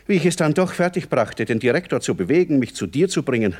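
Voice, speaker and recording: A man's voice: fairly high pitch, sing-song, fast talker, darkish tone. An actor playing a hapless, agitated hungover man in a German radio play, telling his woes theatrically. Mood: agitated recounting. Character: hapless, agitated, newly engaged man with a hangover.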